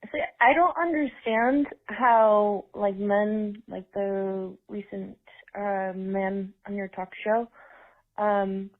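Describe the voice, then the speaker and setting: A woman's voice: mid-range voice, fairly even intonation, takes it slowly, phone-quality sound. Rambling woman on a phone voicemail. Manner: rambling, filled with "like". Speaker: caller.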